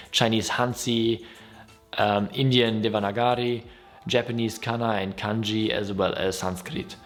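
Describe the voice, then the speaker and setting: A man's voice: on the low side, gravelly. A man from Germany with a German accent, a native German speaker hosting a casual explainer vlog in a friendly, upbeat, conversational way. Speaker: YouTube host.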